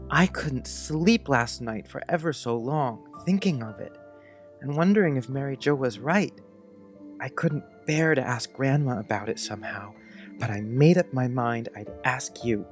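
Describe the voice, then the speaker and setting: A man's voice: mid-range voice, measured pace, faint music behind, natural voice. A man playing an earnest, polite, precocious young schoolboy in an audiobook, speaking in careful old-fashioned phrases. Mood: worried, confiding. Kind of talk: audiobook character acting.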